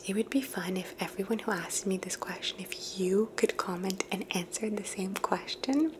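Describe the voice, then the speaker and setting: ASMR host, woman, gentle unvoiced whisper, reflective, close quiet delivery over a faint steady hiss. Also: medium pitch, moderate pace. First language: English. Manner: soft unvoiced whisper, musing and thoughtful.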